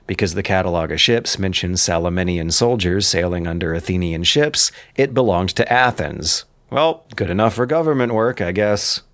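Low-voiced man, bookish scripted commentary. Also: no echo. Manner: articulate, bookish delivery with dry asides. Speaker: writer and narrator of an audio drama.

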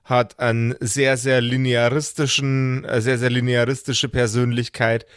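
Man, mid pitch, blunt and sweary, emphatic repetition, casual podcast talk, very dry sound. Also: level tone, a little slow, clean tone, no room sound. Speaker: podcast host and game master. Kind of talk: casual chat with friends.

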